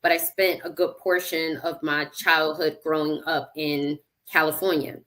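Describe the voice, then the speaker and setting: A woman's voice: sits low, flat delivery, measured pace, a bit bright. A woman from Connecticut, a podcast guest, telling her life story in a reflective, faith-centered way. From United States (Connecticut). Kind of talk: personal storytelling in conversation.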